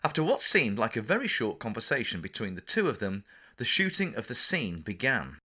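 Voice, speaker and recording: A man's voice: mid-range voice, huge pitch swings, fairly quick, bright, forceful tone, breathy edge. A man with an English accent reading his own memoir for an audiobook, telling the anecdote with theatrical, dramatic emphasis. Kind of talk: audiobook narration, scripted anecdote.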